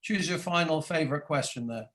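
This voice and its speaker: A man's voice: on the high side, fairly quick, fairly bright tone. A man hosting an online interview, hesitant, with many restarts and fillers. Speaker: interview host. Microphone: video call.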